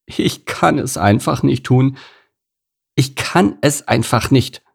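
A man's voice: fairly high pitch, very animated, normal speed, creaky, pitched above the performer's own voice, full character voice. A man voicing a melodramatic, indignant female cow who whines and complains shrilly in a storybook. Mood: desperate. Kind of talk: storybook character voice.

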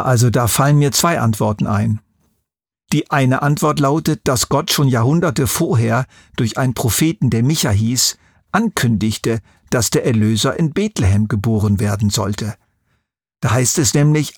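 A man with a gravelly, husky voice at a medium pitch answers as an expert for children. He explains conversationally and in measured, orderly steps. The recording is close and dry. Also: no echo.

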